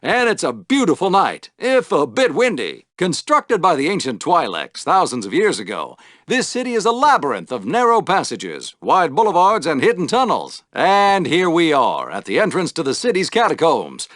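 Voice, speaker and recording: An actor playing an upbeat, showy sci-fi podrace announcer in a video game, delivering wisecracking play-by-play commentary. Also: male voice, a little high, wildly swooping pitch, normal speed, hardly any pauses, a bit bright, no room sound, studio quality. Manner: showy broadcaster patter with wisecracks. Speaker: sports race announcer.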